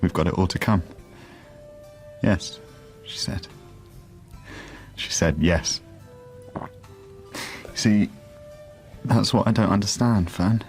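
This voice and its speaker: Slow-speaking, heartbroken young father. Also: lowish voice, a little mellow, faint music behind. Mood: wistful, bereft. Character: lovelorn, bewildered father putting on cheer for his daughter. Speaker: actor. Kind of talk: naturalistic radio drama.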